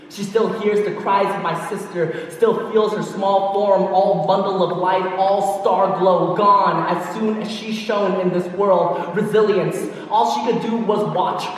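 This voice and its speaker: A young man performing spoken word poetry on stage, with a rhythmic, emphatic delivery and pointed pauses, slipping into relaxed storytelling between poems. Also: high-pitched, a little hiss or hum. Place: large hall, on stage. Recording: echoey hall from far off.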